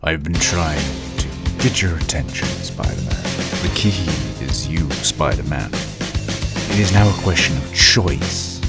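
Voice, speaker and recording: Robotic male villain: bottomless pitch, grave, ominous, slow, loud music behind. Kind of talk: comic dub villain acting.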